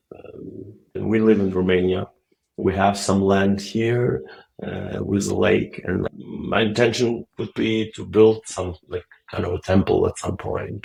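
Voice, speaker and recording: A middle-aged man from Moldova, a native Russian speaker, talking softly and haltingly with many fillers as a guest on a video call. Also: low voice, vocal fry, poor audio. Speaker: guest, Zen teacher. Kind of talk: relaxed interview talk. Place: dim wood-walled room.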